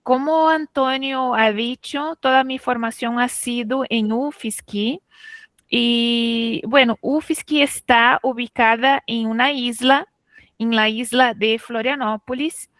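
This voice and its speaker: A woman's voice: a little high, steady tone, slow, a bit bright, no room sound. A middle-aged woman with a Chilean Spanish accent giving an informal academic talk, conversational and checking in with her listeners. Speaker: guest speaker, literature researcher.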